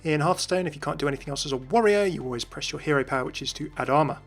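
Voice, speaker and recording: A man's voice: on the high side, very fast. A man giving explanatory commentary as the host of a gaming video. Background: music.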